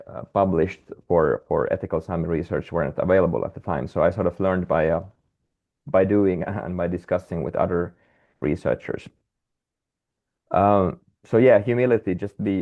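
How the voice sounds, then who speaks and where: A man's voice: low-pitched, creaky, phone-quality sound. A Finnish-speaking man, a guest researcher, talking hesitantly with many restarts and fillers on a video call. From Finland. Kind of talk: webinar conversation.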